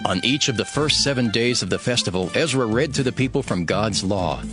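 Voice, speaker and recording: A man's voice: lowish voice, animated, a little fast, over music. A man giving a scripted narration of an audio Bible as its narrator.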